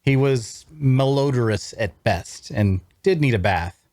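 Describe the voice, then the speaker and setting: A man playing a wry young traveler, telling his fantasy adventure in measured, dramatic first-person narration at a table read. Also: mid-range voice, lively intonation, a little mellow, no room sound, studio quality, close to an everyday voice. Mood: wry, observant. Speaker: voice actor.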